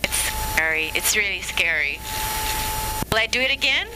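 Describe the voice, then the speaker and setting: A woman's voice: medium pitch, lively intonation, moderate pace, heavy background noise. A nervous woman chatting casually and a little profanely as a passenger, on a headset inside a small plane. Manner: nervous, sweary. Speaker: fearful airplane passenger. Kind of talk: casual chat.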